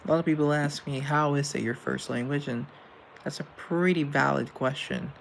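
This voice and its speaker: A man's voice: on the high side, fairly even intonation, normal speed, creaky, a little hiss or hum, rough recording. A young man from Colombia hosting a casual live show, reading out chat questions and answering in a rambling, earnest way with self-deprecating asides.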